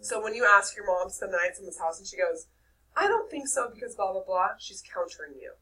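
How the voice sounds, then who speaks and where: A young woman with a nasal voice teaching a high school English class, lively and checking in with her students. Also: fairly quick. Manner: lively, checks in with "okay?" often. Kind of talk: classroom lecture. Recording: distant mic with faint room noise.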